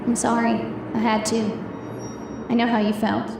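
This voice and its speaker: A woman's voice: fairly high pitch, little pitch movement, normal speed, gravelly, noisy background. A woman playing a wary young female friend in a horror audio story, her voice very echoey and distant. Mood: sorrowful. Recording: very echoey, distant, under music.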